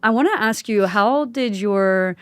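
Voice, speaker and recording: A woman podcast host with a medium-pitched voice in a very dry recording. She chats informally with her guest, expressive and conversational, slipping into acted-out snatches of dialogue. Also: unhurried, clear, resonant tone, dry recording. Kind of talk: casual, conversational podcast chat.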